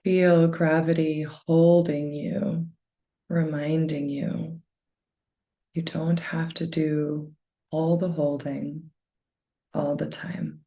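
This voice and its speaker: A woman leading a guided meditation with gentle, unhurried phrasing and a soft, soothing delivery. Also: low-pitched, flat delivery, vocal fry.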